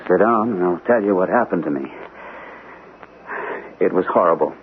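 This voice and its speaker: An actor playing a shaken, earnest war veteran captain in a radio mystery drama. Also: male voice, low voice, normal speed. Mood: grave, shaken. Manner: earnest, troubled, insistent. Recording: noisy, narrow-band vintage radio broadcast.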